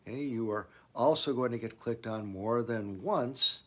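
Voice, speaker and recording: A man with a medium-pitched voice narrates a business lesson. He follows a semi-scripted explanation in an instructional manner, leaning on the key points as he goes. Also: unhurried, bright, forceful tone. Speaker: business advice presenter.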